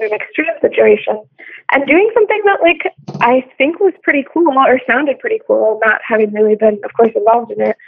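A woman's voice: on the high side, vocal fry, no room sound. An American woman talking casually and reflectively in a discussion, informal and full of conversational hedging words, over a phone-like connection. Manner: informal, peppered with "like" and "you know". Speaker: podcast discussion participant. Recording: thin, dry phone-line audio.

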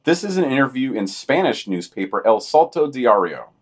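A man's voice: mid-range voice, sing-song, normal speed, breathy edge. A man hosting a podcast, reading scripted news items and dropping in dry, opinionated asides.

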